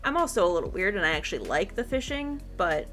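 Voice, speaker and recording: A woman's voice: mid-range voice, very animated, quick pace, fairly bright tone. A woman giving a casual gaming guide commentary as a video host, chatty, informal and encouraging. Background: background music.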